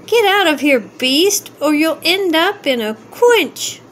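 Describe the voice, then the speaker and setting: Indignant storybook dog played by a woman, high and scolding, bossy exclamations. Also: animated, slow pace, a bit bright, a little hiss or hum, full character voice. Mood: disgusted, scolding.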